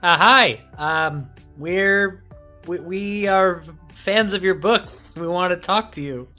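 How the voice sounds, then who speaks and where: An eager young adventurer in a tabletop game, nervously polite, played by a man. His voice is lifted a little above normal and comes out bright and slightly loud. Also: sits high, slow pace, soft background music, voice pushed up, heavily acted.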